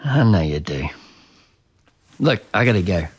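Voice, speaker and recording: A man's voice: low voice, sing-song, measured pace, husky, exaggerated voice. A man playing a weary, frustrated Australian adult son, speaking in a casual, colloquial way. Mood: tired, reluctant.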